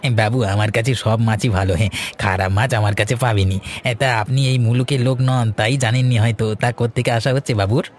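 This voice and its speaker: Man acting a fast-talking rustic Bengali fishmonger. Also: mid-range voice, steady tone, close to an everyday voice. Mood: chatty, boastful. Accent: rural Bengali. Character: chatty, boastful rustic village fish seller. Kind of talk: cartoon voice acting.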